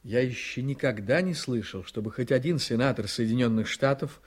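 An actor playing a confident, ambitious politician in a Russian radio drama, speaking in a wry, emphatic way. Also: male voice, mid-range voice, moderate pace, natural voice. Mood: wry, relaxed. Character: blunt, ambitious male politician, confident and sardonic.

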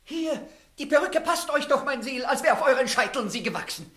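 Mischievous village onlooker, high voice in a roomy, distant old radio studio. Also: female voice, expressive, brisk, fairly bright tone. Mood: mocking. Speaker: actor. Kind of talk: 1960s German radio play acting.

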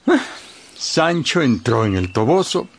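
A man narrating a radio drama in a lively scripted storytelling style. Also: mid-range voice, measured pace, creaky, a little hiss or hum.

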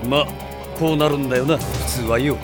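Cocky, rough-spoken male mercenary played by an actor. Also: mid-range voice, brisk, close to an everyday voice. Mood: wry, unfazed. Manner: blunt, wry, rough slangy speech. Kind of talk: radio drama acting. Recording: loud music behind the voice.

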